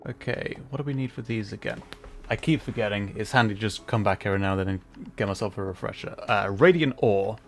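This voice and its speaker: A man's voice: on the low side, fast talker. A man livestreaming a video game with casual commentary, thinking aloud in an easygoing way.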